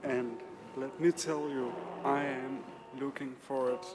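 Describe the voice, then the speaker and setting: A man improvising a halting introduction to a radio show. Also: medium pitch, fairly even intonation. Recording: noisy ambience with vehicle sounds.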